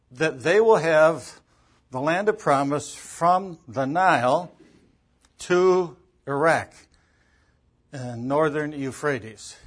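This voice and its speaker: A man's voice: fairly high pitch, a bit bright, breathy edge. A man giving an emphatic Bible lecture, driving points home with repetition and rhetorical questions. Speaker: Bible teacher, preacher. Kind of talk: teaching lecture on biblical interpretation.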